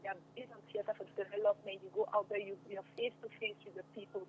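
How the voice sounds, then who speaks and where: A woman's voice: mid-range voice, fairly even intonation, fast talker, muffled recording. A young woman answering casually in an interview, repeating phrases for emphasis. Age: young adult. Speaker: guest, stage and radio drama actress. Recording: noticeable background noise.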